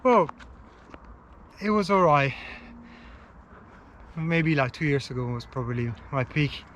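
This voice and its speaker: A man from Italy with an Italian accent, a runner speaking in plain, halting English while out running on a park trail. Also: a little high, expressive, a bit bright, a little hiss or hum. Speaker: club runner, guest.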